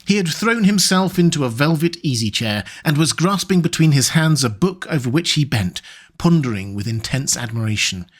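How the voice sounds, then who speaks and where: A man narrating a classic novel in a measured, even storytelling manner. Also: on the high side. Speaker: audiobook narrator.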